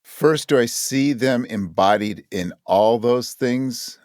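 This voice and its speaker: A man's voice: medium pitch, slow, darkish tone, no echo, studio quality. A man talking as a guest in a casual interview, reflective and self-deprecating. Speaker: podcast guest, actor and audio drama writer.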